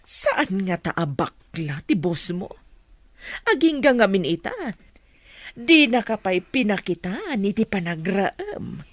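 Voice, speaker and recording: A woman's voice: mid-range voice, very animated, takes it slowly, dry recording. A woman playing an inquisitive, sceptical middle-aged mother in a radio drama, with an Ilocano accent.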